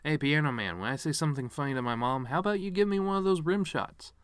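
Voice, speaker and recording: A man's voice: fairly high pitch, quick pace, clear, resonant tone, no echo, natural voice. Guy doing a bitter washed-up actor son, sardonic eulogy monologue, regretful, rambly asides, dry sound. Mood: sardonic joking. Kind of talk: dramatic monologue reading.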